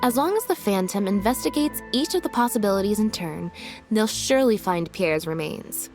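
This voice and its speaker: A woman's voice: medium pitch, animated, moderate pace, darkish tone, soft background music, close to an everyday voice. Woman acting a cool, detached young sleuth. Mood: cool, deductive. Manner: composed, matter-of-fact, detached. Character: cool, blunt, analytical young woman detective. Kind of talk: dramatic video game voice acting.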